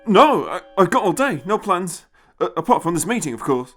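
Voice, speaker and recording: A man's voice: sits high, sing-song, normal speed, fairly bright tone, soft background music, pitched above the performer's own voice, exaggerated voice. A man voicing an eager young male space traveller who bluffs politely with a stammering, nervous, impatient manner. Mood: impatient bluffing.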